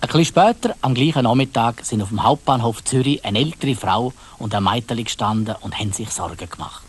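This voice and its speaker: A man's voice: medium pitch, brisk, slightly rough. A man with a Swiss German accent narrating a dramatised children's story, addressing young listeners directly.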